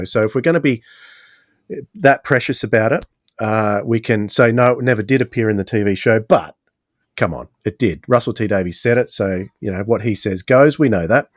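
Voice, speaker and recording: A man's voice: medium pitch, dry recording, studio quality. A man hosting a podcast in a casual, chatty style. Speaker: podcast host.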